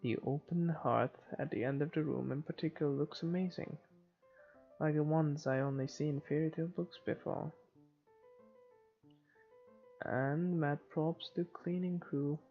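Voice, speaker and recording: A man narrates a visual novel for a game playthrough. His voice is creaky and his read-aloud delivery is informal and a little halting. The sound is slightly muffled, with music underneath. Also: a little high, fairly even intonation. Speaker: video game let's player.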